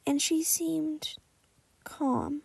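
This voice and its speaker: A woman voicing a shy, withdrawn young male hero apprentice who murmurs quietly in a story reading. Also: high-pitched, steady tone, drawn-out words, vocal fry, no room sound, far from the mic, heavily acted. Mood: shy, hushed.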